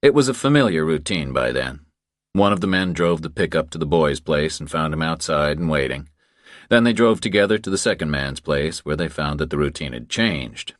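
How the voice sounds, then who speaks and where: A man's voice: very deep voice, expressive, moderate pace, no echo, clean studio sound. A man reading a crime thriller aloud as scripted audiobook narration.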